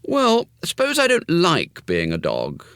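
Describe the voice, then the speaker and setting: Male narrator playing a restless little boy, high, bouncy, dry close sound. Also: huge pitch swings, a little slow, fairly bright tone, clear, resonant tone, no echo, full character voice. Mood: eager. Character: eager young boy.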